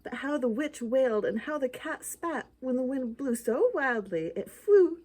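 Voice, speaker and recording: Female storytime reader, higher pitch, dramatic and rhythmic picture-book delivery. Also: animated, moderate pace, darkish tone. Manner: dramatic, rhythmic emphasis on rhymes. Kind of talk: expressive children's story read-aloud.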